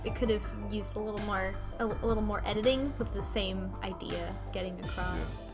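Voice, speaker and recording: A woman talking casually in a group discussion, recapping a game in a halting way and often restarting mid-thought. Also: medium pitch, steady tone, over loud music. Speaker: podcast host recapping a visual novel.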